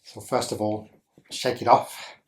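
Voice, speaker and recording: A man leading a guided meditation as a teacher, speaking gently in short instructional phrases with deliberate pauses. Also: medium pitch, level tone, gravelly.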